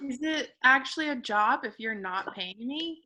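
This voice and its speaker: A pragmatic woman assistant of about thirty played by an actress talks frankly and in a businesslike way. The voice is bright and slightly high, reading a comedy script. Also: animated, normal speed. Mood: incredulous. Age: 30s. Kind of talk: comedy script table read.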